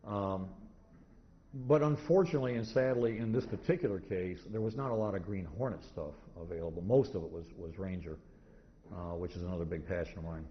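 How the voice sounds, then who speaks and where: A low-pitched man presents an informal history lecture in a live room. He rambles and stops and starts, restarting his sentences. The recording is slightly noisy and dull, with the top end missing. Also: fairly quick. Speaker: convention presenter, radio historian. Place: indoor convention talk room.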